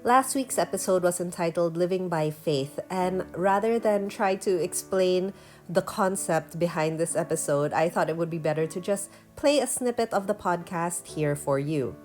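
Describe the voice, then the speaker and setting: A woman with a somewhat low, nasal voice narrates her vlog, her nose stuffed up from allergies. She talks in a chatty, sincere way. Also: moderate pace, a little mellow, soft background music. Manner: chatty and earnest. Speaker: vlogger and painter.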